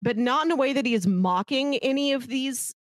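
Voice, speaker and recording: A woman's voice: high voice, fairly quick, dry recording, studio quality. A woman co-hosting a podcast, talking casually and chattily about films, often laughing as she talks. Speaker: podcast co-host. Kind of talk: casual film discussion.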